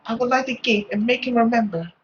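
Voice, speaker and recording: A man voicing a timid, gentle young woman, a devoted daughter, soft and halting. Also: extremely high pitch, lo-fi sound, slight background noise, voice pushed up. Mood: earnest, resolved.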